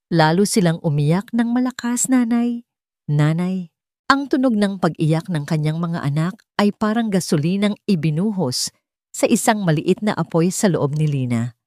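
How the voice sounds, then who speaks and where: A woman's voice: fairly low pitch, a little mellow, no room sound. A woman narrating a scripted dramatic story as an audiobook reader.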